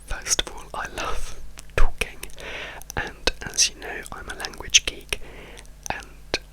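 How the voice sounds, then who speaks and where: Slow, whispering man. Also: rough recording. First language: Italian. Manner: soft, slow, intimate whisper with frequent restarts. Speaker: ASMR YouTuber. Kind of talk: whispered ASMR ramble. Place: indoors, home room.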